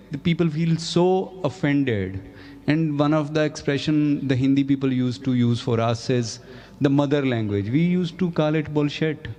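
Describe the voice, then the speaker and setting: Guy on a panel, earnest, lots of uhs, mellow warm voice. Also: fairly high pitch. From Punjab, India. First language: Punjabi. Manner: earnest, emphatic, hesitant with fillers. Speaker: journalist panelist. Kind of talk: panel discussion. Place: festival stage.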